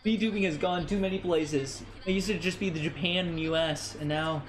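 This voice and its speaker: A young American man chatting casually on a game stream, animated and playful, often stammering and repeating phrases. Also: a little high, moderate pace. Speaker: livestreamer, VTuber.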